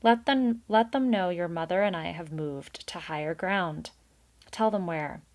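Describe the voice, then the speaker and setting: A woman's voice: fairly low pitch, measured pace. Storybook father mouse, read by a woman: tall, thin, plain-spoken, reassuring, firm. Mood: insistent.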